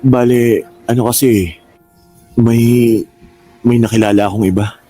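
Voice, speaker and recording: A man playing a hesitant, apologetic younger boyfriend who speaks haltingly in a radio drama. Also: medium pitch, steady tone, warm tone, natural voice. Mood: apologetic, gentle. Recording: music bed under voice.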